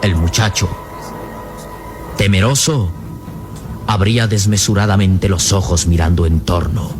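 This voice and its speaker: A man's voice: low-pitched, unhurried. A man narrating an adventure radio serial from a script, grave, emphatic and theatrical. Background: dramatic music.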